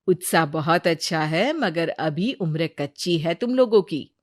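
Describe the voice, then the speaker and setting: A woman voicing a gracious but firm queen in a story, with a measured, authoritative manner. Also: on the low side, expressive. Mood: firm, approving.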